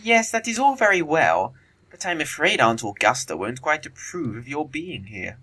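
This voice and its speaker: An actor playing a flippant, witty young upper-class English bachelor in an audio play, with arch, lofty, affected phrasing. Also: male voice, fairly high pitch, very animated, normal speed, bright, forceful tone, full character voice. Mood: mock disapproving. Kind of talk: audio play acting of Victorian comedy of manners.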